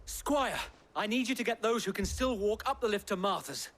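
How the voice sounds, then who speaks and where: A man's voice: sits high, expressive, normal speed, fairly bright tone. A man playing a dutiful, harried knight who commands his men in a video game. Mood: stern, urgent.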